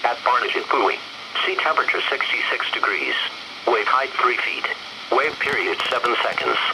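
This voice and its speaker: Automated male weather radio announcer, mid-pitched, flat measured bulletin read, very noisy broadcast. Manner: flat, measured synthesized reading in short phrases.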